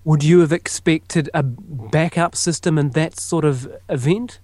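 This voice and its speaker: Higher-pitched New male radio presenter. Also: measured pace, a little mellow, no echo. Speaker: radio news presenter and interviewer. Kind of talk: broadcast news interviewing.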